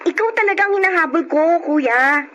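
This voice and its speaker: A woman's voice: extremely high pitch, brisk, fairly bright tone. A young woman acting an eager, affectionate younger sister in a Filipino radio drama, sweet in manner. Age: young adult. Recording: radio drama with faint background noise.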